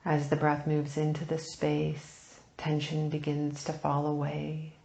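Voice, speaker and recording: A woman leading a guided meditation, speaking softly and soothingly in unhurried phrases with long pauses, turning breathy at phrase ends. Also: low-pitched, monotone, reverberant, rough recording. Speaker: meditation teacher.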